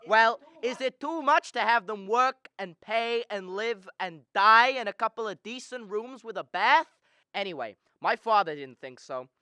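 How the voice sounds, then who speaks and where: An idealistic young man played by a student actor in a radio play, speaking with defiant conviction. The voice is bright, clear and recorded dry. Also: mid-range voice, lively intonation, unhurried, no echo. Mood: indignant. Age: young adult. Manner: impassioned, defiant. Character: earnest, principled, outspoken young man. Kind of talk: radio drama acting.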